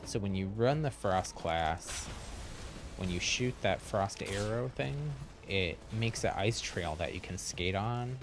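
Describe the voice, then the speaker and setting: A man's voice: deep voice, takes it slowly. A man giving casual live gaming commentary as a streamer, chatting in an easygoing way and thinking aloud. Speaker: game streamer.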